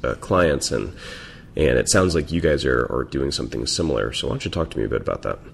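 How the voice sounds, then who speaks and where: A male podcast host with an extremely deep voice and heavy gravelly fry. He asks his questions in an easy, chatty way. A faint hiss and a low rumble sit behind him. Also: fast talker. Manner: relaxed, conversational questioning. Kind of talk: casual interview.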